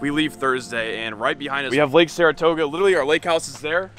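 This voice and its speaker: A man's voice: fairly high pitch, steady tone, fast talker, fairly bright tone, soft background music. A young American man chatting casually to camera as a fishing vlogger, in informal slangy talk. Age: young adult. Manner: informal, slangy, bro-heavy. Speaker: fishing video host. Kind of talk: casual vlog chat to camera. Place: outdoors by water, on a boat and in transit.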